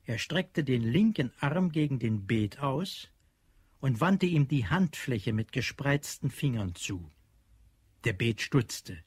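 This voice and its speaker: A sober male storyteller, narrates a science fiction radio play. His voice is husky and sits very dry and close, at a middling pitch. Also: big pitch swings, takes it slowly, no room sound, heavily acted. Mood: measured. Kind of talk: scripted radio drama narration.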